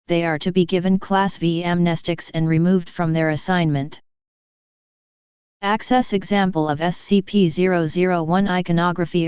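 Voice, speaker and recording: A woman reading scripted narration of a formal document in a measured, impersonal manner. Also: lowish voice, darkish tone, clear, resonant tone, no echo, highs cut off.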